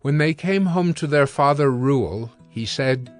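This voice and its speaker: A man narrates scripture in a scripted audiobook style. His voice is medium in pitch, with soft music underneath. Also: animated, a little slow.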